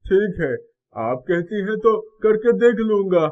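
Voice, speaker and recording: A man's voice: high-pitched, lively intonation, a little slow. A man voicing a humble, polite and hesitant young sweet seller in a moral story cartoon. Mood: earnest. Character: humble, earnest poor young male sweet-shop owner. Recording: dull muffled audio.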